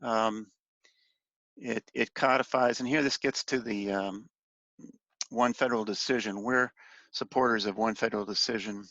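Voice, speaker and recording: A man with a fairly low, gravelly voice talks through a slide briefing on a conference call. His delivery is halting and informal, with phrases that trail off. The sound is roomy and echoey. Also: fairly even intonation, fairly bright tone, dull audio. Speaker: industry association policy advocate briefing on legislation.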